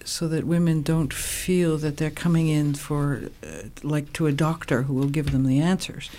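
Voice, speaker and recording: A woman of sixty-eight explaining her work as an interview guest, in a measured, halting, thoughtful way. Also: sits low, level tone, mellow. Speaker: interview guest, co-founder of a women's program.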